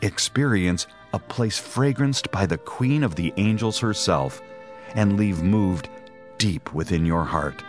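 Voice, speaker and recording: A man with a very low voice delivers a scripted religious promo as an announcer. Soft music runs faintly underneath. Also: expressive, takes it slowly.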